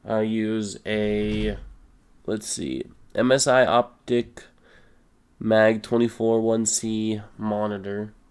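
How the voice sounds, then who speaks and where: Young man, stuffy, lowish voice. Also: slow pace, clear, resonant tone. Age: young adult. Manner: relaxed, rambling, many fillers. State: stuffy nose, a little sick. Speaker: gaming YouTuber. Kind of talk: casual Q and A voice-over.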